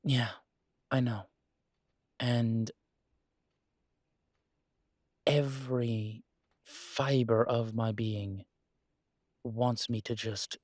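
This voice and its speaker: Male actor as earnest werewolf lover, unhurried delivery, close dry sound, halting pauses, tender and protective, intimate audio drama. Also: medium pitch, darkish tone, no echo. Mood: grave, restrained anger. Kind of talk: intimate dramatic roleplay monologue to a partner.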